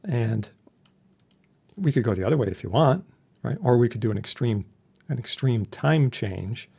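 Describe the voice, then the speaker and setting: Gravelly, husky man teaching casually. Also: mid-range voice, lively intonation, moderate pace, mellow. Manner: informal, explanatory with homely analogies. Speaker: instructor demonstrating audio software. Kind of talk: casual software tutorial narration. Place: indoor voice-over for a screen recording.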